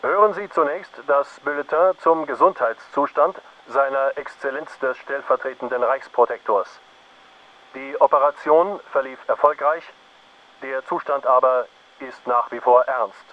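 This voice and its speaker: A man's voice: medium pitch, measured pace. A man playing an official 1940s radio newsreader in a radio play, formal, through an old radio filter. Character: official wartime male radio newsreader in occupied Prague. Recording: dull, hissy old radio sound.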